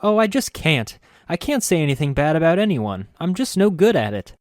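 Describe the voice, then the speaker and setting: A bubbly, eager-to-please young woman, played by a man reading a storybook, whose voice sits far above his usual one and rings out louder. Her lines leap up and down with breathless sweetness. The sound is dry and close. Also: fairly high pitch, very animated, a little fast, no room sound, pitched above the performer's own voice. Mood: fretful. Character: perky, sweet-natured young woman who hates being mean.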